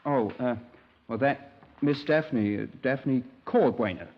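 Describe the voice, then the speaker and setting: An actor playing a naive young English scoutmaster in a 1950s radio crime drama, polite, hesitant and wordy, easily affronted. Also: male voice, medium pitch, slow. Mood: hesitant, deferential. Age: young adult. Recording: dull, band-limited 1950s radio recording.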